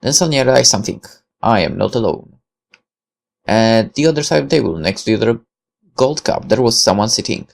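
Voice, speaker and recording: A man reading a first-person visual novel aloud as narrator, dramatic and deliberate, with halting pauses. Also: medium pitch, expressive, gravelly.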